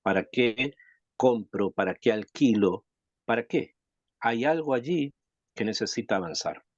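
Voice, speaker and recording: A man from Chile, a rabbi teaching a Torah class, reflective and thoughtful, asking rhetorical questions and pausing to think. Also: medium pitch, expressive, no echo, muffled recording. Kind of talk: Torah lesson.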